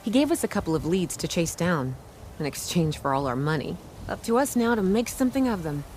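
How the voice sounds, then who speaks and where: A woman's voice: on the low side, big pitch swings, fairly quick, darkish tone, a little hiss or hum. A woman playing a scrappy, friendly young treasure hunter in a video game, with a casual, earnest and slightly hesitant delivery. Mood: frank, helpful. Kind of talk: naturalistic video game dialogue.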